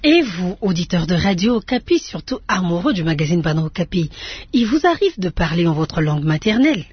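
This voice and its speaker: A woman's voice: fairly low pitch, fairly quick, husky, no room sound. A woman hosting a radio magazine, presenting in a scripted, announcing manner. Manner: lively, announcing. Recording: muffled radio broadcast with music underneath.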